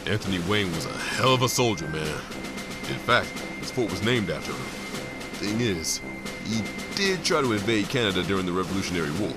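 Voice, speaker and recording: An actor playing a down-to-earth ghost hunter and history buff in an audio drama. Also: male voice, low voice, big pitch swings, fairly quick. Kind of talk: full-cast audio drama acting. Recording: loud music under the voice.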